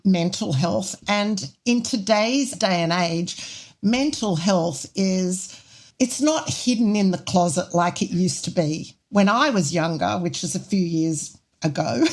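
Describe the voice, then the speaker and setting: A woman hosting a podcast, asking rambling, chatty questions. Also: medium pitch. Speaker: podcast host. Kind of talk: podcast interview.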